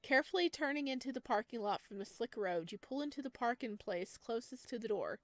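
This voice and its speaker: A woman's voice: on the high side, brisk, no echo. Woman reading a horror game aloud, dry close sound. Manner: reads narration at an even pace, breaking off for chatty asides and giggles. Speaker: game streamer. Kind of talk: reading a visual novel aloud with commentary.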